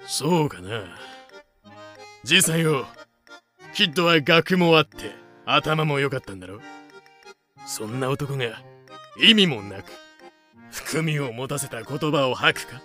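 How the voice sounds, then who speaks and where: A man playing a nosy, sly journalist in an audio drama, with casual, rough-edged, probing speech. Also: medium pitch, expressive, unhurried, long pauses, sharp tone, faint music behind. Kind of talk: Japanese audio drama acting.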